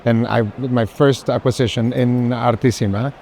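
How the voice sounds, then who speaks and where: A man's voice: medium pitch, fairly even intonation, normal speed, a little mellow. A man speaking casually as a guest collector, effusive and emphatic, repeating words for stress. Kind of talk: casual unscripted conversation. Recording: faint urban background noise.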